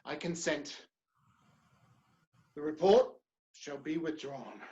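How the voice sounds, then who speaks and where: A man acts a proud gentleman politician under pressure in a period stage drama. He speaks formally and stiffly, and the recording is dull and lo-fi. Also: fairly high pitch, lively intonation, slow. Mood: cornered, defeated. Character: proud English gentleman politician, indignant and cornered. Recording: dull, lo-fi microphone sound.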